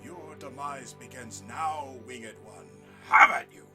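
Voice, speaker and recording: A man voicing a muddled old zombie who speaks in grand, formal phrases with sudden high outbursts. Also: steady tone, takes it slowly, pushed and bright, soft background music. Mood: pompous. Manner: theatrical, grandly formal, prone to sudden shrieks. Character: addled old zombie man, pompous and well-meaning.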